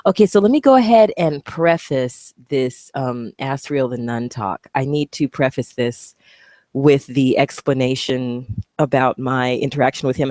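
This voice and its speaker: A woman's voice: rumbling low, darkish tone, dry recording. Blunt, cursing woman vlogger, dry sound. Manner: blunt, profane, rambling with many asides. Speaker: video blogger. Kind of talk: casual monologue while browsing videos.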